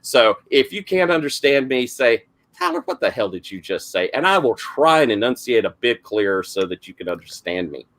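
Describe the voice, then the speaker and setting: A middle-aged man with a heavy Southern accent and a gravelly voice, rambling through a casual introduction to the game he runs as game master, with lots of fillers and restarts. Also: medium pitch, huge pitch swings, normal speed, fairly bright tone. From South Carolina, US.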